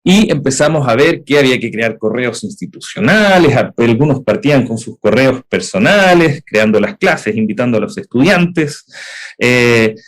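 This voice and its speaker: A man's voice: mid-range voice, expressive, moderate pace. A young man with a Chilean accent presenting in a webinar, explaining at length with frequent "eh" fillers and rhetorical questions. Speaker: edtech founder presenting.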